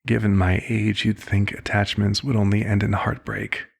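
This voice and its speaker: Man playing a gravelly, low-voiced, compassionate vampire doctor. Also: little pitch movement. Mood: reflective, somber. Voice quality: husky, gravelly. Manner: measured, slightly formal, old-fashioned phrasing. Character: old, compassionate male vampire doctor, gently paternal and measured. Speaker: ASMR roleplay performer. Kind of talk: ASMR roleplay monologue.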